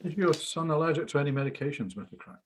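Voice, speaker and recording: Man acting calm young doctor, fast-talking, matter-of-fact questions, husky tone, script table read. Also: fairly high pitch. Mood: professional, probing.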